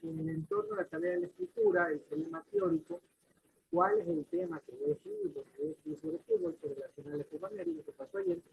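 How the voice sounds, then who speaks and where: A man teaching a class in a loose, chatty lecture, his voice leaping between high and low. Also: sing-song, quick pace, vocal fry, narrow, tinny audio, a little hiss or hum.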